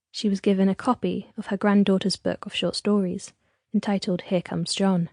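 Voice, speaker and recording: A woman's voice: mid-range voice, mellow, no echo, studio quality. A woman reading a scripted personal literary essay as audiobook narration. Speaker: audiobook introduction reader and author. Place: dry recording studio.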